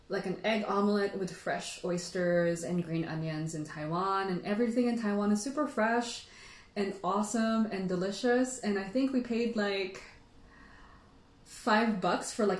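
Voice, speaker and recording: Echoey, animated woman chatting casually. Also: mid-range voice. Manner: animated, rambling, giggly, frequent "like". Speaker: livestream host. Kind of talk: casual storytelling chat to livestream viewers. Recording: echoey room.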